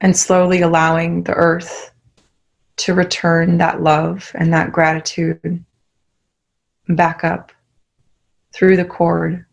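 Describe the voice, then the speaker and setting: Young woman talking you through a meditation, low flat voice, really slow and soft. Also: gravelly, muffled recording. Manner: slow, soft, soothing instructions. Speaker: meditation guide. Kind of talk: guided meditation.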